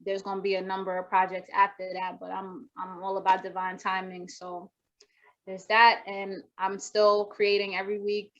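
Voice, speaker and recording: Brief: young woman, soft voice, flat delivery, halting and rambling, lo-fi video call audio. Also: medium pitch. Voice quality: naturally soft voice. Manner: rambling, many restarts and fillers. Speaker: rapper guest. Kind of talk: casual video-call interview. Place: home room with wooden shelves.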